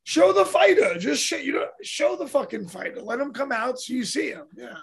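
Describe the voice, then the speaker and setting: High-voiced New man chatting. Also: very animated, moderate pace, vocal fry, fairly bright tone, slightly rough. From New York. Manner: rambling, swears freely, acts out his own quotes. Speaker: podcast host, mixed martial arts fighter. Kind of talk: casual profane chat.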